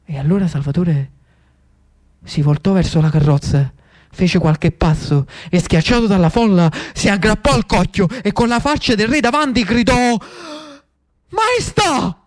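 A man with a Neapolitan accent narrating theatrically as an actor and storyteller, emphatic, with rhetorical pauses and drawn-out words. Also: high voice.

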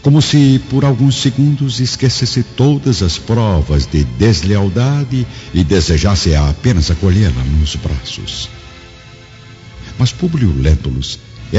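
A man's voice: fairly low pitch, darkish tone. A man narrating a radio drama from a script in a solemn, literary, dramatic style. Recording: dull lo-fi radio drama audio. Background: background music.

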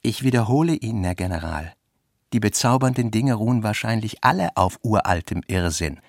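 A man narrating literary prose and its dialogue in a measured, articulate way. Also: on the low side, expressive, creaky, no echo, clean studio sound.

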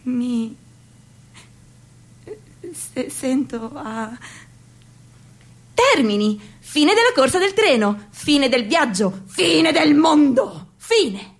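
A young woman with a high voice performs a theatrical radio monologue. She swings between soft, near-whispered lines and loud, declaimed ones. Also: sing-song, drawn-out words, long pauses. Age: young adult.

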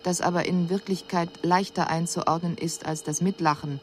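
A woman plays an alien envoy reporting on humankind in a radio drama. The voice is fairly low, with sober, precise and formal diction and an analytical detachment. The recording has a slight hiss. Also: steady tone, normal speed, natural voice. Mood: detached, sober. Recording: faint hiss on 1980s radio production.

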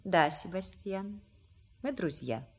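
An actress playing a well-bred daughter of the house in an audio play, polite but pointed and probing. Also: female voice, on the low side, animated, slow, breathy edge, heavily acted. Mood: reserved. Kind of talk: theatrical radio play acting.

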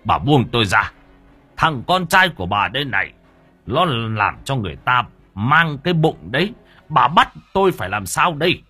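A man's voice: mid-range voice, sing-song, normal speed, sharp tone, husky, faint music behind, voice pushed up, full character voice. A man voicing a furious, domineering middle-aged father in an audio story, cursing and repeating himself in rage. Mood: enraged, scornful. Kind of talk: acted dialogue in audio storytelling.

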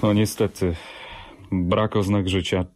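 An actor playing a matter-of-fact emergency doctor on a house call in a radio drama. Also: male voice, low voice, level tone, takes it slowly, a little mellow. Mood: businesslike. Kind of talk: Polish radio drama acting.

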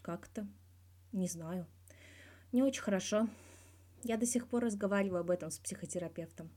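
Woman reading as young athlete guy, wry n blunt, kinda guarded, mellow voice, audiobook story. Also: medium pitch, normal speed, lightly acted. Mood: subdued, frank. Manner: guarded yet blunt, dryly teasing, swears freely. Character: candid, wry young male star athlete being interviewed.

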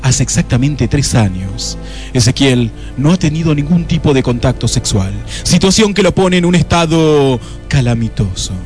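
A man's voice: mid-range voice, animated, moderate pace, darkish tone, faint music behind, natural voice. Man as mock-solemn Argentine radio play narrator. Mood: wry. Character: wry, dramatic male narrator of a detective spoof.

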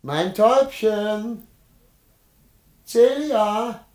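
A man's voice: high voice, extremely slow, darkish tone. An actor playing a greedy, hypocritical husband in a theatrical comedy drama, exclamatory and wheedling, stammering over his excuses. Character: greedy scheming husband, outraged, hypocritical and bullying toward his wife. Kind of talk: theatrical classic comedy radio drama acting.

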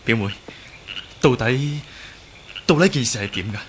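An actor plays a good-natured young martial hero in a radio drama. His voice sits at a middle pitch, sincere and placating, easily thrown into a fluster. There is faint noise behind him. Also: expressive, measured pace, lightly acted. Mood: relieved, earnest. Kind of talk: Cantonese radio drama acting.

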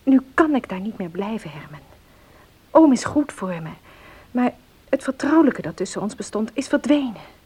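A woman's voice: on the high side, big pitch swings, normal speed, warm tone, breathy edge. An actress playing an earnest, troubled young woman in a Dutch radio play, speaking politely, formally and with some hesitation. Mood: troubled, pleading.

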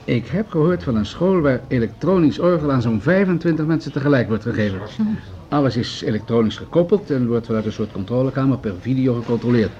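Male actor as patronizing bureaucrat, mellow dark timbre, measured, formal, argumentative, radio drama, slightly dull recording. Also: mid-range voice, lively intonation, lightly acted. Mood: businesslike, grave. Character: pragmatic, patronizing male municipal official pushing budget cuts. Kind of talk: Dutch radio drama acting.

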